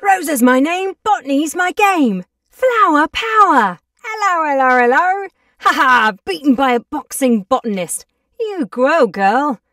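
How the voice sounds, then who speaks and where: A hearty, cheeky botanist brawler calls out in a high, bright voice. The recording is dry and set a little back from the mic. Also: female voice, lively intonation, measured pace, no room sound, recorded from a distance. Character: hearty boxing botanist woman. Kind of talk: game character voice lines.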